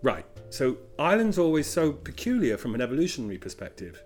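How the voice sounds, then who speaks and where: Male podcast host, very animated intonation, mid voice, eager questioner. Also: mid-range voice, fast, faint music behind. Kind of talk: scripted intro and casual interview questions.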